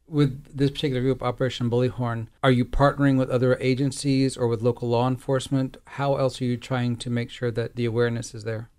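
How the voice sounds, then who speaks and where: A man acting as interviewer, putting questions in a formal, measured and articulate way. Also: mid-range voice, little pitch movement, a little mellow, clean tone.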